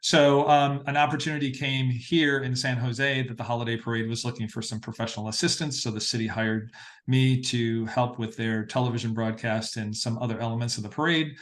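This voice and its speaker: A middle-aged American man speaks as an interview guest, recounting things in a conversational way. His intonation stays fairly even, with frequent pauses while he gathers his phrasing. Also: medium pitch. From Massachusetts, northeastern United States. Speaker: interview guest, parade producer.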